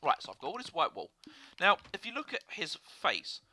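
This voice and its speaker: Bright-voiced man with a sore throat. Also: a little high, wildly swooping pitch, a little fast. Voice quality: hoarse. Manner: rambling, lots of filler and restarts. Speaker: gaming video host. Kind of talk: casual gameplay commentary.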